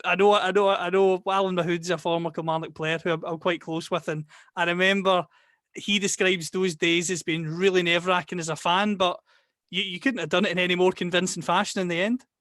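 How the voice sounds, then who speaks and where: Scottish male host, high voice, dry close sound, long friendly questions. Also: flat delivery, fairly quick, a bit bright, dry recording. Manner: chatty, builds long questions. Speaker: interviewer, host. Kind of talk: interview questions.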